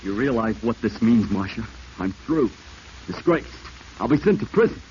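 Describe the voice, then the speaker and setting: A very deep male voice from an actor plays a corrupt, desperate lawman in 1940s radio drama. He is domineering and scheming, with clipped, commanding delivery and a dark tone on a muffled, hissy recording. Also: animated, moderate pace, lightly acted. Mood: panicked despair.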